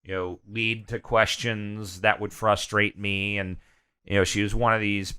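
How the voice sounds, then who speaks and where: Bright, crisp-voiced man with a loud delivery. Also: lowish voice, measured pace. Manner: loud, animated, hesitant with many fillers. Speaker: guest. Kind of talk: candid conversational interview.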